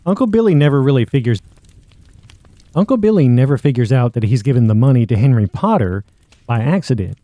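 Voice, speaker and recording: A man's voice: mid-range voice, mellow, dry recording. A man hosting a podcast, casually recapping and commenting on a story. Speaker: podcast host.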